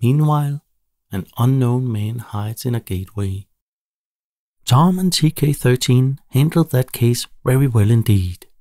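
A man narrating a children's bedtime story in a measured, animated storytelling delivery. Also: medium pitch, no echo, clean studio sound.

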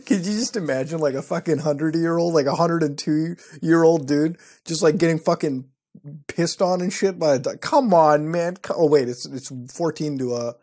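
A man's voice: on the high side, normal speed, a little mellow. A young man hosting his show from a small room at night, reacting to websites with wry, profane commentary. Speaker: host. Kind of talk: casual commentary reading websites.

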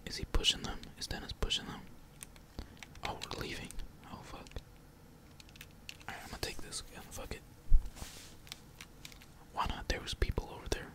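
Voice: normal speed, pauses often. A gamer whispering slangy, laid-back ASMR commentary while playing, with casual swearing. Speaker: gaming streamer.

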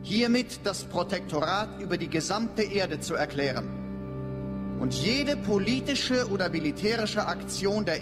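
An actress playing a solemn official, proclaiming a decree in measured, declamatory radio drama style. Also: female voice, on the low side. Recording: long reverb, loud music behind.

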